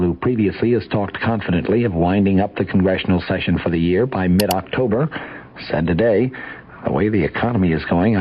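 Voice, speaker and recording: A man's voice: on the low side, breathy edge, muffled recording. An American man reading a scripted news report as a radio newscaster on a vintage broadcast.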